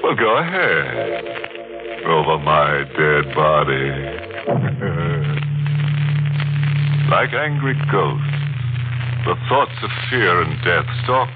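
An actor playing a sardonic, ghoulishly jovial host of a 1940s radio mystery, narrating in a theatrical, mock-sinister way. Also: male voice, very deep voice, very animated, drawn-out words, long pauses, heavily acted. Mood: ominous. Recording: old muffled radio recording, organ music.

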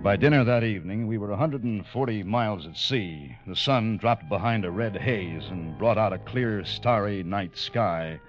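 A man's voice: on the low side, normal speed, hardly any pauses, dull audio, over music, lightly acted. An actor playing a dutiful, uneasy first officer who narrates his own story in an old radio drama. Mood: brooding narration. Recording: band-limited vintage radio broadcast.

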